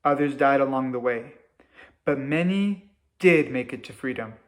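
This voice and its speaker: A man reading a story aloud to children in a deliberate, expressive way, like a teacher. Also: mid-range voice.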